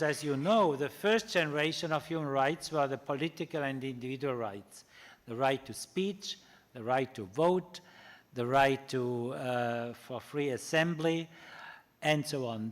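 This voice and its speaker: A man whose first language is German, giving a public lecture in English, halting often with fillers and restarts. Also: on the high side. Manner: pauses on single words, slow in places.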